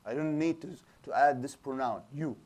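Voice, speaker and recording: A man's voice: medium pitch, moderate pace, darkish tone. Man teaching a lecture class. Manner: explanatory, asks the class rhetorical questions, frequent restarts. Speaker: Islamic studies teacher. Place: small lecture room with a projector screen.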